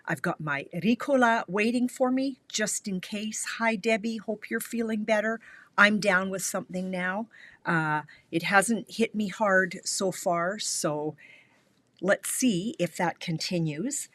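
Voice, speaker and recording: A woman's voice: fairly low pitch, a bit bright. A middle-aged woman from Canada hosting a live craft tutorial, her voice stuffy and a little scratchy from a cold, chatting and greeting viewers by name. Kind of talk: casual live-stream tutorial chat.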